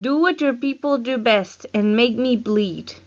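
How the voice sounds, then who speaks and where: A woman's voice: on the high side, measured pace, lightly acted. A woman playing a stern, goading female combat trainer with a curt, commanding manner in a screenplay table read. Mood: challenging. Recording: dull lo-fi online meeting audio.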